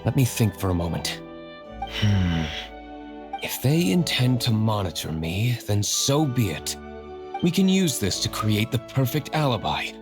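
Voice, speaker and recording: Aloof broody young noble from a game, terse n formal, keeps it composed, music behind him. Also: male voice, lowish voice, very animated, a little slow. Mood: calm, calculating. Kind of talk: video game character acting.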